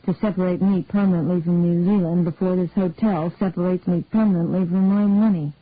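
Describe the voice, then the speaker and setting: A woman's voice: fairly low pitch, fairly even intonation, fairly quick, lightly acted. A woman voicing an easygoing middle-aged father who talks in a wry, offhand way. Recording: narrow phone-like dry sound.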